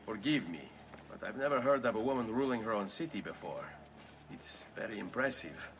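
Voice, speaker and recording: An actor playing a confident, courteous and wry young Italian assassin in a video game cutscene, with an Italian accent. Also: male voice, lowish voice, lively intonation, a little fast, fairly bright tone, a little hiss or hum. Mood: polite, awkward.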